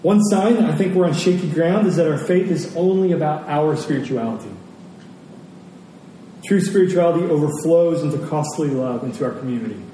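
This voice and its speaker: Mellow male preacher in an echoey hall. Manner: earnest, emphatic, pauses and repeats phrases for weight. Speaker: pastor preaching. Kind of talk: sermon. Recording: echoey hall, distant mic, faint rumbling noise.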